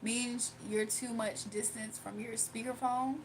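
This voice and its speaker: A woman's voice: fairly high pitch, flat delivery, unhurried, slight background noise, poor audio. A woman chatting casually on a live stream from her phone, reading viewer comments aloud and laughing often. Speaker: livestreamer.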